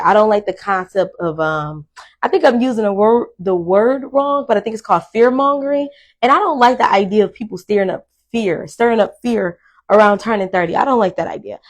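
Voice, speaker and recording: A woman in her thirties, speaking, hosts her own talk show and addresses viewers directly. She is blunt and candid, swearing without hesitation. She rambles through her thoughts, with plenty of "um"s and restarts. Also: medium pitch. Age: 30s. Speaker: podcast host. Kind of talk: casual solo monologue to viewers.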